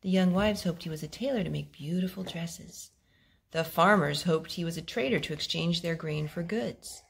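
A woman reading a picture book aloud at home, expressive and gentle, as a host talking to small children. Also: lowish voice, normal speed. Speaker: storytime host, reader to young children. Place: home living room with wooden floor. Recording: home video with clear background noise.

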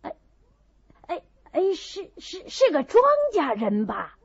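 女声扮演的慢吞吞的乡下老婆婆. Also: female voice, sits high, sing-song, slow pace, gravelly, mellow, pitched above the performer's own voice, full character voice. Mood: humble, jovial. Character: good-humoured, humble old country granny. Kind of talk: classic novel reading. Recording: dull, muffled audio.

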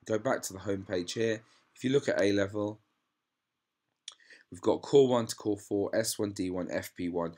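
Lower-voiced man explaining with a cold. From England. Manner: upbeat, plain explaining. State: has a cold. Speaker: maths teacher. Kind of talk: screen-recorded website walkthrough.

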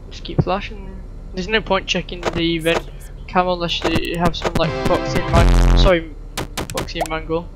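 A woman's voice: lowish voice, level tone, normal speed, poor audio. A woman giving casual gameplay commentary in an offhand, muttering way. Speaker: gamer. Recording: loud game audio and music behind. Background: video game music and sounds.

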